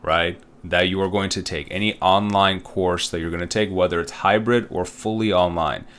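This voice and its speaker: A man in his thirties with a low, deep voice, talking through an online course page as a college instructor. He rambles casually, leaning on fillers and tag questions as he explains. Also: steady tone, moderate pace, a bit bright. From Uzbekistan. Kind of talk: recorded online course walkthrough.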